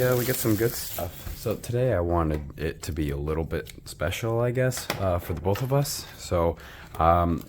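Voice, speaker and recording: A man's voice: low-pitched, background noise. A man who is losing his voice, chatting casually while opening trading card packs, rambling with many ums and restarts.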